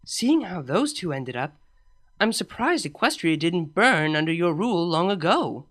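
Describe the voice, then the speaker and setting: A woman's voice: lowish voice, very animated, normal speed, fairly bright tone. A woman voicing a young pony princess in a comic storybook, reassuring in a hesitant, casual way. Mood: consoling. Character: soothing, tactless young pony princess, gently consoling.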